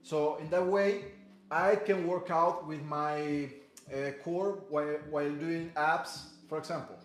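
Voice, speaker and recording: A Cuban-born man with a Spanish accent, a native Spanish speaker, leading a workout in a halting, self-correcting, encouraging way. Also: on the high side, steady tone. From Cuba. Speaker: fitness coach. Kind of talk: workout instruction. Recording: echoey room with music behind.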